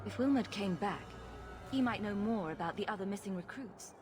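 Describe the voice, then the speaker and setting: A woman's voice: medium pitch, fairly quick, background noise, lightly acted. An actress playing a confident, sarcastic female rogue adventurer in a video game, with a flippant manner. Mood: probing, flippant. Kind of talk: video game dialogue acting.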